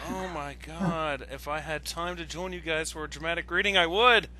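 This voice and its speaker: A man ranting casually on an online voice call, exasperated and excitable, stammering and swearing when he gets worked up. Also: fairly high pitch, normal speed, crowd noise. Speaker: guest commentator on an online video.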